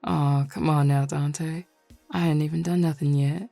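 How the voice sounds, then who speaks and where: A woman playing a flirty, teasing male werewolf with a Southern US accent and a playful, unhurried drawl in an audio roleplay. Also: deep voice, fairly even intonation, gravelly, mellow, no room sound, faint music behind.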